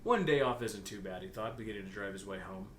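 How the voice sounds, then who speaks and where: A man's voice: on the low side, expressive, fast talker, fairly bright tone. A man reading a story aloud in a comedic, casual way, breaking off for joking, mocking asides. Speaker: co-host reading fan fiction aloud. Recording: echoey room, faint background noise.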